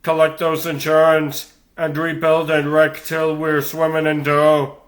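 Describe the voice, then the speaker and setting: A man's voice: a little high, monotone, unhurried, lightly acted. Man as a crabby, scheming cartoon crab. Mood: smug scheming. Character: crabby, scheming male crab real-estate swindler. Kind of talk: comic book dub cartoon acting.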